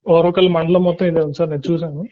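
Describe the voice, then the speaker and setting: A man with a slightly high voice asks survey questions at a quick clip over a lo-fi phone call. He is polite and deferential, and he drops English words into his questions. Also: flat delivery, warm tone. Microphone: telephone. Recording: muffled lo-fi leaked phone call.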